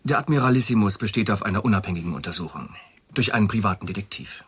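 Husky officious company executive. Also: male voice, lowish voice, expressive, fast, fairly bright tone, heavily acted. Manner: officious, smooth-talking salesman phrasing. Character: fussy, obsequious male corporate executive. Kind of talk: radio drama acting.

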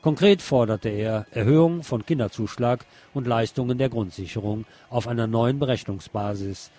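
A man's voice: medium pitch, darkish tone. A man presenting the news as scripted narration.

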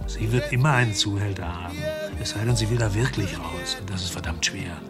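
A man's voice: on the low side, brisk, loud music behind the voice, close to an everyday voice. An actor playing a world-weary crime investigator in a radio drama, brooding and laconic as he narrates and talks. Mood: serious, concerned.

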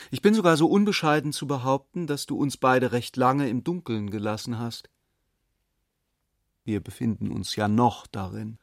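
A man's voice: mid-range voice, no room sound, clean studio sound. A man reading literary narration and dialogue for a radio play.

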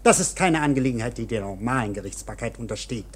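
Husky, officious guard. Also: male voice, mid-range voice, expressive, fast. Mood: officious, lecturing. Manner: curt, bureaucratic. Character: stern, officious low-ranking guard lecturing the arrested. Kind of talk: German radio drama acting.

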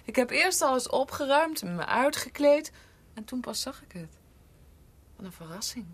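An actress playing a gentle young woman in a Dutch radio drama, speaking earnestly and emotionally, often breathy. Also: sits high, sing-song, moderate pace, exaggerated voice. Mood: delighted, excited. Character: gentle young woman, devoted niece of a doctor.

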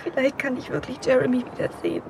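High-voiced, stubborn emotional young woman played by an actress. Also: little pitch movement, fast, background noise, close to an everyday voice. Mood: tearful hope. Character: determined, emotional young daughter searching for her brother. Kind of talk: German radio drama acting.

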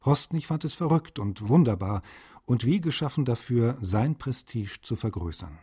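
A man reading a letter aloud in a scripted, measured documentary style. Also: medium pitch, expressive, warm tone, breathy edge.

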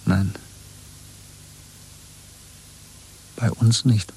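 A husky, soft-edged male voice as a disillusioned rebel in a 1970s radio drama. He speaks with brooding, earnest intensity, like someone confiding forbidden thoughts. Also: lowish voice, flat delivery, unhurried, low-quality recording. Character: disillusioned, brooding rebel man in a dystopian state. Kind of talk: 1970s German radio play acting.

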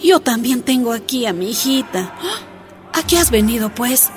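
A woman's voice: fairly high pitch, lively intonation, moderate pace, lightly acted. An actress playing a jailed miner's wife and young mother, pleading and defiant in a radio drama. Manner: pleading then defiant, emotional.

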